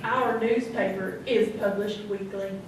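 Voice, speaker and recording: A woman's voice: medium pitch, fairly even intonation, unhurried, lightly acted. A woman playing a wry small-town local in a live radio comedy sketch, reciting drily and teasingly. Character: wry small-town townswoman giving a comic testimonial. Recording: echoey hall, distant, noisy live stage.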